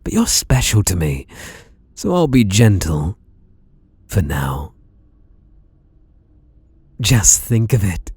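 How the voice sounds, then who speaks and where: A man's voice: fairly low pitch, big pitch swings, slow, pauses often, a little mellow, breathy edge, no room sound, exaggerated voice. A British man playing a sadistic, obsessive masked killer in an ASMR roleplay, teasing and menacing with mock tenderness and whispered words. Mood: gloating, possessive.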